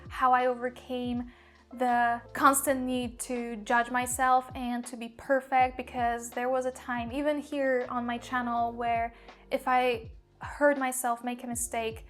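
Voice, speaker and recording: A woman's voice: on the high side, little pitch movement, unhurried, soft background music. A young woman with a slight Polish accent, a language mentor talking earnestly about her own learning, with lots of "like" fillers. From Poland. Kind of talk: personal vlog monologue.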